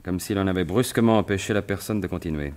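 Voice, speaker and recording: An actor playing a sly, self-assured gentleman thief turned sleuth in an animated 1950s-style French radio mystery. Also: deep voice, fast, lightly acted. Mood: absorbed, intrigued.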